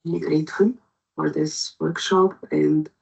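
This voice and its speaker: A woman from Egypt, working as an interpreter, sharing a personal story in a slow, reflective way with many hesitations. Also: low-pitched, fairly even intonation, gravelly, a little mellow, breathy edge, low-quality recording. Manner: reflective, halting with fillers. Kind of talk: personal testimony video.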